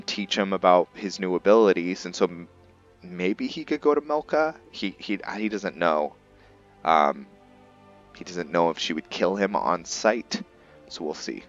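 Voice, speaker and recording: A man with a very low voice talks through a roleplay video game. He commentates casually and haltingly, thinking out loud as he goes, with music playing faintly underneath. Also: highs cut off. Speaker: gamer narrating a roleplay playthrough. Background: background game music.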